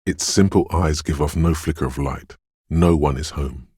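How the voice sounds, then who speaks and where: Game inner voice of intuition: extremely low, heavily rasping, dreamlike and ominous, lingering on poetic phrases. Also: male voice, normal speed, dark tone, dry recording, studio quality. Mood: eerie, wistful. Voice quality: husky, gravelly bass. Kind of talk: atmospheric second-person video game narration.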